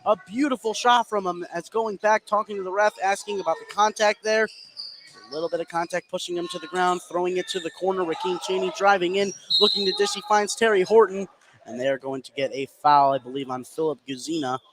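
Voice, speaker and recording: A man's voice: high voice, steady tone, fairly quick, fairly bright tone, slight background noise, poor audio. A man giving live play-by-play commentary on a basketball game for radio.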